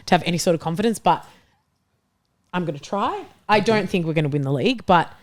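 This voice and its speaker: A woman in casual football fan chat, animated and conversational as a panellist. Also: lowish voice, quick pace.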